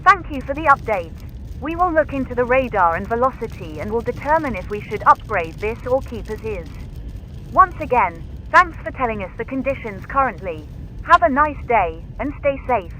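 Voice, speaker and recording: Casting: man as very high-voiced, lively weather hotline operator, polite and formulaic, faint noise behind the call. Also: normal speed, heavily acted, low-quality recording. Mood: polite, businesslike. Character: helpful, courteous National Weather Service phone operator. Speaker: weather service call taker. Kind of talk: acted phone call in a fan disaster scenario.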